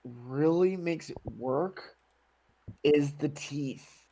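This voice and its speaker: A man speaks slowly in casual chat, his voice mellow and full of fry. He mostly offers short reactions as a co-host. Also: on the high side, poor audio.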